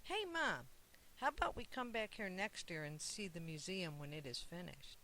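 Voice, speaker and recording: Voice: mid-range voice, lively intonation, normal speed, pushed and bright, a little hiss or hum. A reader voicing an eager, earnest young boy in a children's storybook.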